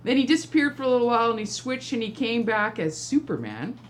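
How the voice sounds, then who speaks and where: A middle-aged woman casually telling anecdotes in an animated, informal way. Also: a little high, fast talker. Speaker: storytelling guest, a regular host's mother.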